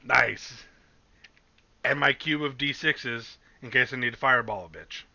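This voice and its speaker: A man chatting excitedly and casually as a player in an online tabletop game, slangy and swearing freely. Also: medium pitch, unhurried, creaky, lo-fi sound, background noise.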